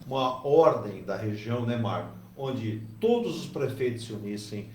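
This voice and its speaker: A male talk show host with a mid-pitched voice, speaking conversationally. He uses plenty of fillers and tag questions. He sounds roomy and set back from the microphone. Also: animated, moderate pace, darkish tone, a little hiss or hum. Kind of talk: hosting a discussion. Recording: roomy and distant from the mic.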